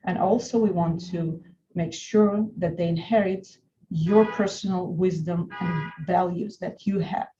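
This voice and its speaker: A woman from Russia with a Russian accent, an attorney giving an earnest, deliberate webinar presentation with frequent restarts. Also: lowish voice, fairly even intonation, dull audio, noisy background.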